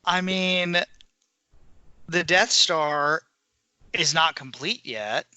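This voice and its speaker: A man's voice: on the high side, very slow, bright, forceful tone, clear, resonant tone, no echo. A man co-hosting a podcast, talking informally in a casual fan discussion. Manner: informal, conversational. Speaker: podcast co-host.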